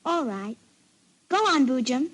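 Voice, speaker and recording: High-pitched, frank little British girl. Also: very animated, measured pace, a bit bright, exaggerated voice. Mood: agreeable. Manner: childlike, direct. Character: wary, frank, polite little English girl. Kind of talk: 1940s radio acting.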